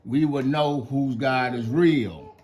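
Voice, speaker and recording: A man's voice: medium pitch, slow, darkish tone, close to an everyday voice. A man with an American accent playing a confident prophet who issues an earnest, colloquial challenge in a live Bible story. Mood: challenging.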